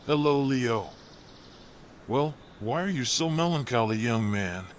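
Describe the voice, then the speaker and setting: A man voicing a wise, kindly old elephant in a children's storybook, speaking softly and encouragingly. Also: mid-range voice, lively intonation, measured pace, slight background noise, close to an everyday voice. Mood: gentle, reassuring. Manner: soft, gentle, encouraging.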